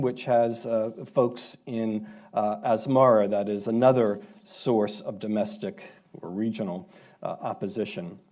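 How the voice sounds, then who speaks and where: A man on a discussion panel, giving a measured briefing that is full of pauses and "uh" fillers. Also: medium pitch, warm tone. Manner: measured, halting with many fillers. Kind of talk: panel talk.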